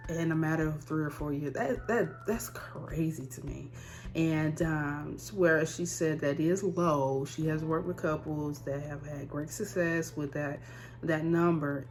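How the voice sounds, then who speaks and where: A woman's voice: low-pitched, darkish tone. A woman in her thirties talking candidly and conversationally in a personal vlog, repeating words for emphasis. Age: 30s. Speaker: vlogger. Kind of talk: casual personal vlog to camera.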